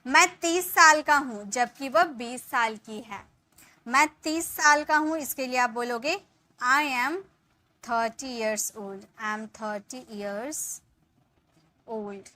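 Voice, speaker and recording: A woman in her thirties teaching spoken English, explaining in Hindi and saying the English sentences slowly, word by word, and often checking in with her viewers. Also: a little high, bright, forceful tone. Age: 30s. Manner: addresses viewers as guys, checks in with "ठीक है".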